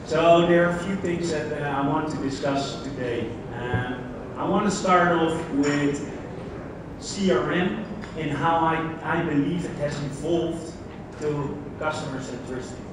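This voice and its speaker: A man's voice: on the high side, unhurried. A man from the Netherlands with a Dutch accent giving a conference talk, speaking conversationally and putting questions to the audience. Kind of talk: conference keynote presentation. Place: large conference hall. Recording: very echoey hall, distant mic, background noise.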